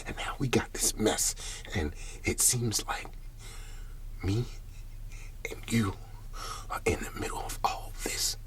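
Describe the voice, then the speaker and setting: A man playing a shaken, humble country farmer in an ASMR roleplay, speaking in a halting, breathy whisper and repeating his words. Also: lowish voice, a bit bright. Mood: defiant, weary.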